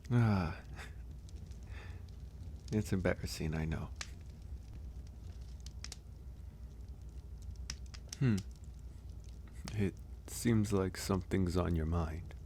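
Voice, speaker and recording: A gentle, solitary werewolf acted by a man speaks in a deep, soft voice for an ASMR audio roleplay. He takes his time, with slow, hesitant phrasing, sighs and pauses. A little noise sits behind the voice. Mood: gentle, attentive. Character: gentle solitary male werewolf caring for an injured stranger.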